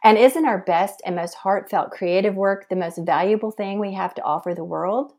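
A woman host reading a scripted introduction with an earnest, measured delivery. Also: medium pitch, darkish tone. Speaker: podcast host.

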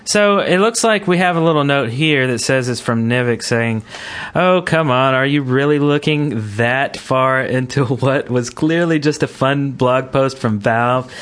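Male podcast host, average pitch, informal and chatty, for loose gaming talk. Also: measured pace, a bit bright, clean tone. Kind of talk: casual gaming podcast chat.

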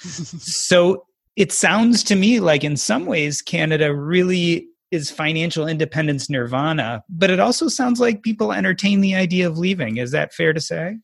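A man's voice: fairly high pitch, animated, moderate pace, creaky, breathy edge, dry recording, clean studio sound. A man hosting a podcast, asking his guests conversational, leading questions. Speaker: podcast host interviewing guests.

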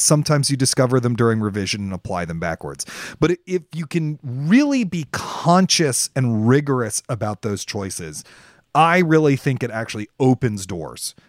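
A man speaks as a podcast co-host with a very animated, varied pitch. He is conversational and thoughtful, leaning on key words for emphasis. The sound is dry and close. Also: normal speed, dry recording. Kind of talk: conversational discussion of writing craft.

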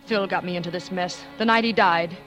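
A woman's voice: fairly low pitch, steady tone, a little fast, a bit bright, natural voice. A cold-blooded, scheming wife played by a woman in an old 1940s radio thriller. She confesses with theatrical swings between sweetness and menace. Music runs behind the vintage recording. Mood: desperate, tense. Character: scheming, cold-blooded former actress poisoning her husband. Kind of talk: 1940s radio suspense acting, first-person confession.

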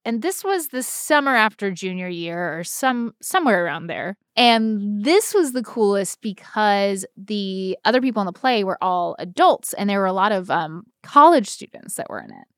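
Animated American woman, dry close sound. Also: mid-range voice, normal speed, hardly any pauses, clear, resonant tone, no echo. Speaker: podcast co-host. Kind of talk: casual chat, personal storytelling.

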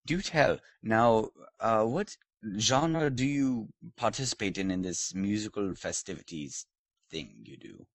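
A man's voice: on the low side, lively intonation, measured pace, no echo. A man putting on a pompous British lord. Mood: haughty, mock-posh. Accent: put-on British. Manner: mock-posh, theatrical, teasing. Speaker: host. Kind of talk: comedic podcast interview.

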